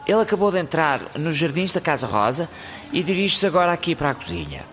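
An actor playing an officious personal assistant in a radio comedy, formal, bureaucratic and deadpan, with a European Portuguese accent. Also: male voice, on the high side, quick pace, faint music behind. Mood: solemn, officious. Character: officious male personal assistant giving solemn warnings. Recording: noisy recording.

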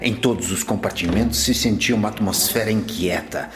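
A man's voice: lowish voice, fairly quick. A man narrating a radio soap opera in scripted storytelling style. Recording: roomy echo, music behind.

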